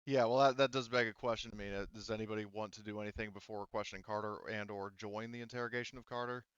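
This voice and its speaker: Male game master, resonant lower register, loose conversational narration full of rewording, close and slightly muffled audio. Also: fairly even intonation, fast, fairly bright tone, clean tone, no room sound. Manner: rambling, often rephrasing mid-sentence. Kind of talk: casual tabletop game narration.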